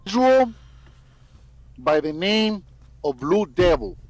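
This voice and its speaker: A man's voice: high voice, big pitch swings, a little slow, dry recording. A man making online videos, talking casually to viewers in slang with Spanish words mixed in. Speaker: YouTube content creator.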